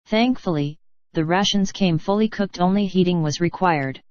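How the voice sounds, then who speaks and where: A fairly low synthetic female voice narrates a scripted story. The phrasing is stilted, with an odd cadence. The audio is very dry and slightly dull. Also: normal speed, dry recording. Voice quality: synthetic text-to-speech voice.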